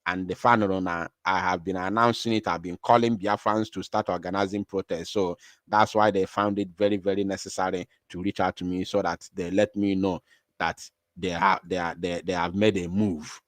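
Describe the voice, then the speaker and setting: A man hosting a livestream, talking with emphatic repetition and sudden swings from quiet to loud. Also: sits low, little pitch movement, normal speed, no echo. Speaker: livestream host. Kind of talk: impassioned livestream talk.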